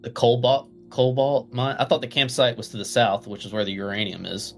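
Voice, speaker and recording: A man's voice: medium pitch, level tone, fairly quick, soft background music. A man playing a tabletop role-playing game, talking casually as he asks questions and reasons things through.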